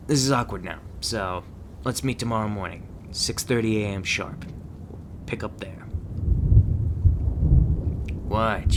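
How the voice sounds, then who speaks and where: A man playing a gruff, guarded agent who trains a rookie in a terse, stern manner in an ASMR roleplay. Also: sits low, noisy background. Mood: awkward, flustered. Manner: terse, stern instructing, stammers when flustered.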